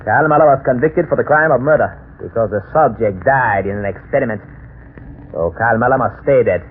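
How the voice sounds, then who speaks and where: Through a tinny, noisy old radio recording comes a man acting a male mad scientist. He is grandiose and menacing, savoring each deliberate phrase as a genius bent on revenge. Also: mid-range voice, natural voice. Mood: smug, pleased.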